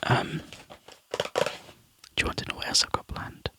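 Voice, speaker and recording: A Scottish man chatting to viewers in a soft, rambling ASMR whisper, close to the mic. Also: moderate pace, dry recording. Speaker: ASMR YouTuber.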